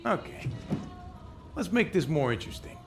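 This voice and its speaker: Goading American soldier, swinging intonation. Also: male voice, a little high, very animated, moderate pace, fairly bright tone, faint music behind. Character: sour, bigoted rustic American soldier, betting and taunting.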